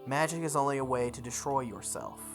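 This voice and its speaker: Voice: medium pitch, expressive, a little fast, lightly acted. A game player voicing a solemn, cautionary adult male magus mentor with weighty delivery. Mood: grave, warning. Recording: background music behind the voice.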